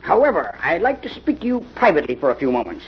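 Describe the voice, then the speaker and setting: An actor playing a stern older professor with a husky, gravelly voice, blunt and insistent, in a 1940s radio mystery. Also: male voice, fairly high pitch, huge pitch swings, quick pace, slight background noise. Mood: probing. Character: stern older professor, suspicious academic investigator. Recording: dull vintage radio recording.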